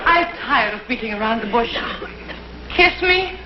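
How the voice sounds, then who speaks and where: A woman's voice: on the high side, expressive, a little slow, heavy background noise. A woman playing a bold, flirtatious wife in a 1960s TV comedy sketch, coquettish and emphatic. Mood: amorous. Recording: dull 1960s TV soundtrack, music, loud audience.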